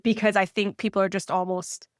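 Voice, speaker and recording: A woman's voice: mid-range voice, little pitch movement, a little fast, no echo, clean studio sound. A woman hosting a podcast, eager and enthusiastic as she talks with her guest. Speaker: podcast host. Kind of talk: podcast interview.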